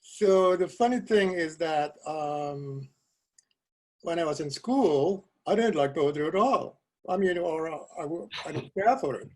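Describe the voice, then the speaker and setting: An older man, a Dutch native speaker from South America, talking as a guest poet over a video call from a cluttered home office, soft-spoken and hesitant with restarts. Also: a little high, expressive, measured pace, poor audio. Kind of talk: casual video call interview. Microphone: video call webcam.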